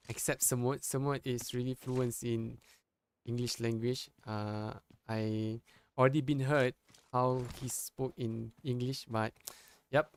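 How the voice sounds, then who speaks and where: Malaysian guy casting a mobile shooter in english, slow and halting, voice jumps up when teams clash. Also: clear, resonant tone. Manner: halting, with frequent restarts and fillers; rises in pitch and volume at fights. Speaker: esports caster. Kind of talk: live game commentary.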